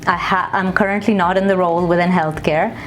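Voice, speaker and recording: A young adult woman from Kuwait answering interview questions in a conversational way, pausing often with fillers and restarts. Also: lowish voice, fairly even intonation, soft background music, rough recording. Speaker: guest, singer. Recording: faint background noise.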